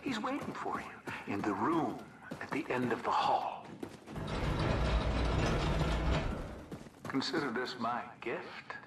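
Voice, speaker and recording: A sadistic, theatrical military commander, a game villain. His menacing voice swings widely in pitch and lingers on words. It sounds echoey and far off, with heavy noise. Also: big pitch swings, unhurried. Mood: taunting menace. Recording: echoey, distant, very noisy ambience.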